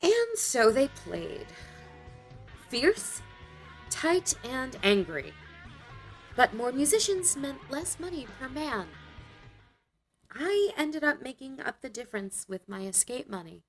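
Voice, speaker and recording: A woman plays a wry young punk-scene storyteller in a motion comic. Her voice is high and swings widely as she narrates with dry, dramatic pauses. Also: very animated, faint music behind, full character voice, rough recording. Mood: dry, deadpan. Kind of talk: scripted first-person storytelling in a motion comic.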